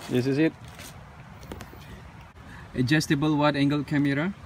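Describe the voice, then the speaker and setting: A man from Malaysia unboxing a drone, talking casually and haltingly, with many fillers and loose grammar. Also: fairly high pitch, little pitch movement. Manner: unscripted, halting, with frequent "uh" and non-standard English grammar. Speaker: drone reviewer. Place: outdoors on pavement. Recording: distant mic with outdoor street noise.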